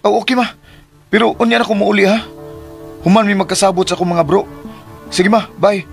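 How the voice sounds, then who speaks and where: An actor playing a casual, coaxing young suitor in a radio drama, with a Filipino accent. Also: male voice, sits high, steady tone, a little fast, creaky, soft background music. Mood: slightly irritated. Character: smooth-talking young male suitor, casual and eager.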